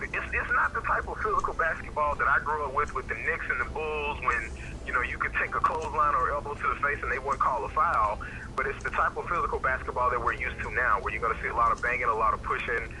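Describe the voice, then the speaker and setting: A man giving casual sports commentary in a relaxed back-and-forth radio discussion. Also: medium pitch, background noise.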